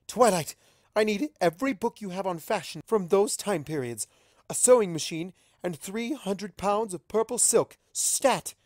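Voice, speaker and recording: Cartoon fashionista pony, female, bossy and dramatic played by a man; lifted, very lively pitch, close dry sound. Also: unhurried, no echo. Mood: frantic, commanding. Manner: dramatic, imperious. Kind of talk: cartoon fan fiction character reading.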